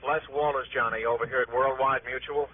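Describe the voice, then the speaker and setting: A man playing a brisk, joking insurance man on the telephone in a 1960s radio drama. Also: medium pitch, steady tone. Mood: businesslike. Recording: tinny, faintly noisy vintage radio phone voice.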